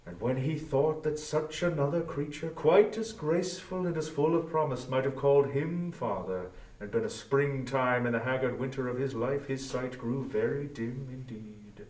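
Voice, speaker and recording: A man narrating a classic story as a dramatic reading, measured and formal. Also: mid-range voice, a little mellow. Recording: roomy echo, distant microphone.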